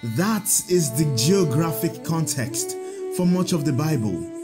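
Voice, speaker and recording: Patient priest, lively swooping pitch. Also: male voice, over music. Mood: gently instructive. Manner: patient, explanatory, measured. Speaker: actor in an audio drama. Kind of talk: audio drama storytelling.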